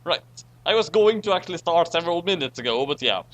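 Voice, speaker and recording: A man's voice: on the high side, expressive, fast, fairly bright tone. A man hosting a casual group read-aloud, commenting and stumbling over the words as he reads garbled text. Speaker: host of a group fan-fiction reading. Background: background music.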